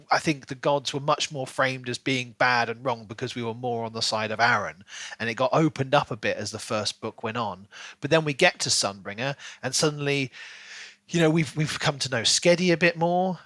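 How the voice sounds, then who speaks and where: A man's voice: medium pitch, fairly bright tone, dry recording. A man co-hosting a podcast, chatting casually about books and thinking aloud as he goes. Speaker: podcast co-host. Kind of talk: casual book discussion.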